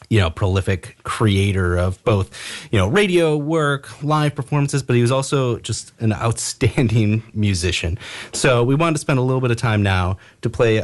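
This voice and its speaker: A man with a medium-pitched voice hosts a radio show. He speaks informally and politely, picking his way through sentences in a halting, casual style.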